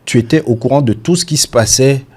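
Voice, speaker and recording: A man hosting an interview, asking calm, measured questions. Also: medium pitch, husky. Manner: calm, measured questions, frequent short acknowledgements. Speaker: interviewer, host. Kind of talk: casual interview.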